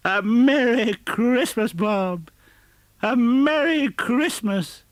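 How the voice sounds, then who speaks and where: A male reader performs an elderly miser in a storybook, his voice pushed high and loud. He is giddy and earnest beneath a blustering mock sternness, and speaks in emphatic, exclamatory bursts. The sound is close and dry. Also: drawn-out words, no echo, heavily acted. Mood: jubilant, earnest. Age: older. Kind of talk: dramatised audiobook reading.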